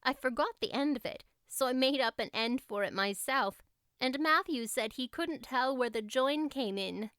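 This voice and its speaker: Woman voicing a high, dreamy, exuberant young girl. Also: normal speed, a bit bright, smooth, no room sound, studio quality. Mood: chatty. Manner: gushing, breathless enthusiasm. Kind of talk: audiobook character voice.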